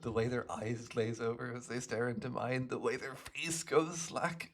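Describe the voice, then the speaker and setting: A man voicing a smug, playful hypnotist supervillain in an ASMR roleplay, gloating and teasing the listener in a gravelly voice. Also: medium pitch, moderate pace, a bit bright, a little hiss or hum, natural voice. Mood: gleeful, entranced. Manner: gloating, teasing, theatrical, swooping between murmurs and exclamations.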